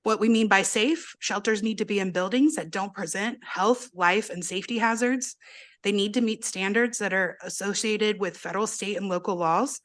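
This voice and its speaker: Hoarse woman testifying before a committee. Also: mid-range voice, normal speed. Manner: earnest, pushes to speak up. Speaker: advocate witness. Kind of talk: formal testimony. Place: legislative committee hearing room.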